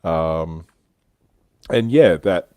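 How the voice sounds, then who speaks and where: A man's voice: low-pitched, animated, very slow, mellow, no room sound. A man hosting a casual livestream discussion about a hobby, talking conversationally. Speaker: livestream host.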